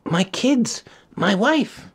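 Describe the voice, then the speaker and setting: A bewildered middle-aged man in a storybook, as a male reader puts him on. He is breathy and slow, raised in pitch, hesitant and anxious as he questions. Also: expressive, heavily acted. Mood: worried. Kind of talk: storybook character voice.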